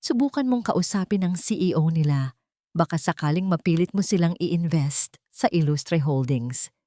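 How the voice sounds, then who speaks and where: A confiding, urging friend with business gossip, acted out by a woman narrator. It is a drama story voice, low and dry. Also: expressive, moderate pace, mellow, no room sound. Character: man's well-informed friend passing on business gossip.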